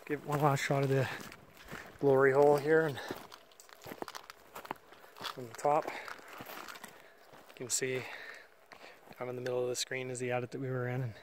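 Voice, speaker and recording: A man's voice: medium pitch, completely flat delivery, unhurried, long pauses, noisy background. A man filming and casually narrating a walk through an old mine site outdoors, thinking aloud with fillers on a camera mic. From Canada. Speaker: mine explorer filming a walkthrough.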